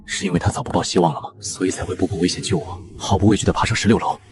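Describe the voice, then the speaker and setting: A man's voice: deep voice, steady tone, very fast, music in the background, natural voice. A man acting a curt, dry, commanding executive with a protective streak in an audio drama. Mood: pensive. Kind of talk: Chinese audio drama dubbing for a comic drama.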